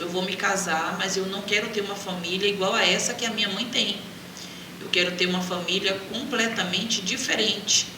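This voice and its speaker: A middle-aged woman from Brazil with a Bahian accent, telling her life story as an interview guest. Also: medium pitch. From Salvador, Bahia, Brazil. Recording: echoey, distant mic, clear background noise.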